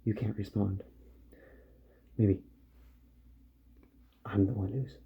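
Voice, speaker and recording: A soft-spoken man in an ASMR roleplay, murmuring unhurriedly to the listener as a repair doctor at work, often dropping toward a whisper. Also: fairly low pitch, steady tone, takes it slowly, dull audio, slight background noise.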